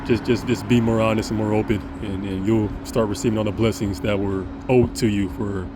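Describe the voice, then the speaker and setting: A man with a deep voice speaks in an earnest, rambling vlog monologue. He restarts sentences and stammers often. Loud traffic and air-conditioning noise hum behind him throughout. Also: steady tone, brisk. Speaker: vlogger. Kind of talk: casual monologue to camera.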